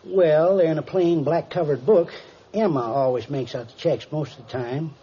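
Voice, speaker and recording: Folksy, eager old-radio shopkeeper acted by a man, garrulous henpecked husband, mellow delivery, dull noisy vintage recording. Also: on the high side, moderate pace, lightly acted. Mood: folksy, chatty. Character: folksy, chatty, henpecked small-town-style shopkeeper husband. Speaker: crime victim reporting stolen checks. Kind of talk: 1950s radio drama acting.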